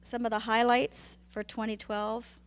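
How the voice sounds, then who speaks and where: A woman losing her voice and fighting a cough, a public health presenter who apologizes and clears her throat as she speaks at a committee meeting. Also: fairly high pitch, level tone, a little slow.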